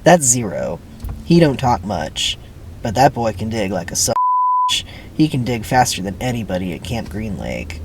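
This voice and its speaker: Know-it-all fifteen-year-old camper boy put on by a script reader, slangy, lively intonation, faint noise. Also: mid-range voice, normal speed, pitched below the performer's own voice. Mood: cocky, knowing. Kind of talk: dramatic script reading.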